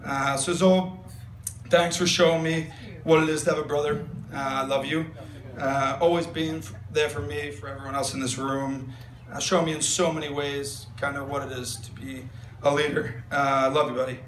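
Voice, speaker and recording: A man's voice: a little high, fairly even intonation, moderate pace. A young man giving a best man's wedding toast on a handheld mic, rambling with fillers and jokes. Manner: rambling, filler-heavy, joking. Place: large wedding tent. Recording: echoey tent with loud room noise. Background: guests laughing.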